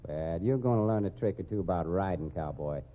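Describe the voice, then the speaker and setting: An actor playing a scheming male rodeo gambler in a 1940s radio drama, with a gruff, slangy drawl. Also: low-pitched, lively intonation, brisk, a little hiss or hum. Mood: smug. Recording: tinny old radio broadcast.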